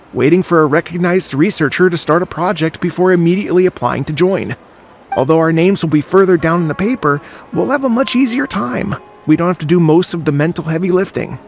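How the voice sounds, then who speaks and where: A chatty, evasive young researcher in a game, stumbling over his words. His voice sits fairly high with a gravelly rasp. Faint music plays under him. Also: expressive, fairly quick. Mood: explaining. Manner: hesitant, stammering, chatty. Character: slacking young male researcher, easygoing and evasive. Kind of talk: game voice acting.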